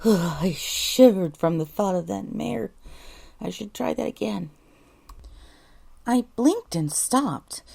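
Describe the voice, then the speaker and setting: A woman's voice: mid-range voice, animated, slow pace, creaky, long pauses, mellow, breathy edge. A woman reading a scripted first-person story as a voice actor, correcting herself and mildly exasperated at her flubs. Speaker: voice actor, narrator.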